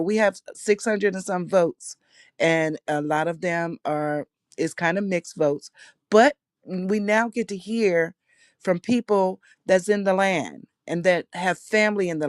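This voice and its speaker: A woman's voice: on the low side, a little slow, dry recording. Woman guest, chatty and open, phone audio, dry close sound. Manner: open, talkative, frequent restarts. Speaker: guest, host of another chat room. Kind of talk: audio chat room discussion. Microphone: phone in a voice chat app.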